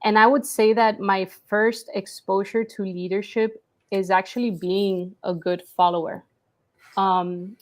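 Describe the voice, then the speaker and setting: Mid-pitched woman from Colombia, earnest. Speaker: guest, marketing company CEO. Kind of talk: personal story told in interview.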